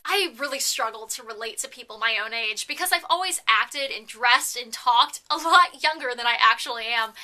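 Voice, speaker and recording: A woman in her twenties with a naturally loud, projected voice, earnestly confiding to camera in a rambling, self-deprecating way. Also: high voice. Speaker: faith vlogger. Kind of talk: candid confessional talk to camera.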